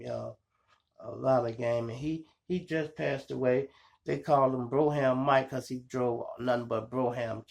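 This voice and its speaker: A man with a Chicago accent and a gravelly voice, telling street stories casually to his viewers in slangy, profane talk. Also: medium pitch. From Chicago, United States. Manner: animated retelling, slangy, profane, acts out dialogue and sound effects. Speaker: storytelling podcast host.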